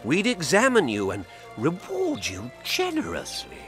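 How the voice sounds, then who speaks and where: A man's voice: high voice, huge pitch swings, a little slow, over music, exaggerated voice. An actor playing an eager, scheming male alchemist in a video game. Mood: eager, persuasive.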